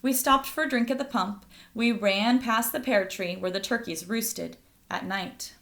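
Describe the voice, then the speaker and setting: A woman's voice: a little high, fairly bright tone. A woman reading a children's picture book aloud as a storyteller, addressing young listeners directly. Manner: pauses to ask listeners questions.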